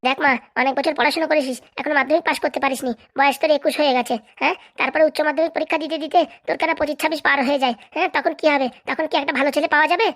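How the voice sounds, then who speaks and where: A woman's voice: high-pitched, fairly even intonation, rapid-fire, hardly any pauses, fairly bright tone. A woman voicing a firm, coaxing middle-aged mother in a comedy cartoon, nagging and insistent. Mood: insistent, scolding. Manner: nagging, repeatedly checking she is understood. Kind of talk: Bengali comedy cartoon dub.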